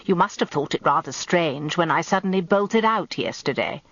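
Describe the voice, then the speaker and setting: A woman's voice: fairly low pitch, lively intonation, normal speed, fairly bright tone, no echo, dull audio. A woman playing a charming, flirtatious male solicitor in a radio drama, with an ingratiating manner. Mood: genial, probing.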